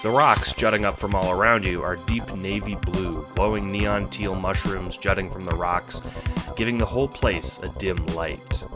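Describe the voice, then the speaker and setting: A man narrating an audio drama in an expressive, scripted storytelling style, addressing the listener as the hero. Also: deep voice, normal speed, music in the background. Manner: animated, expressive. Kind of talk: scripted second-person storytelling.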